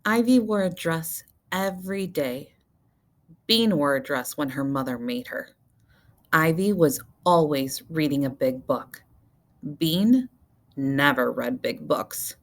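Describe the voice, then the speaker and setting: Woman, low pitch, teacher reading aloud, children's storybook narration, expressive, deliberate emphatic phrasing.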